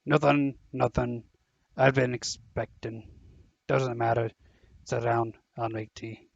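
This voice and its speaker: A man playing a gruff but soft-hearted gamekeeper in an audio drama, gravelly and husky, flustered and halting. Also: mid-range voice, fairly even intonation, dry recording, dull audio, exaggerated voice. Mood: nervous, distracted. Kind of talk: fan audio drama acting.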